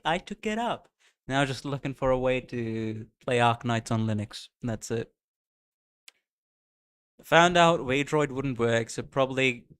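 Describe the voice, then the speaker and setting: Excitable streamer with a light Indian accent. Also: male voice, medium pitch. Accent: Indian, blended with American and British. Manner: excitable, chatty, greets viewers by name. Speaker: streamer reacting to game music. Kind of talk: casual live-stream chat.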